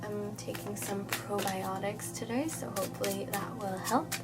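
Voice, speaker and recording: Woman, nasal from a cold, chatty reassuring tarot reader, mid-pitched, with music running clearly underneath. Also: fairly even intonation, moderate pace. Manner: chatty, reassuring, many fillers and "okay?". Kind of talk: casual tarot reading to camera.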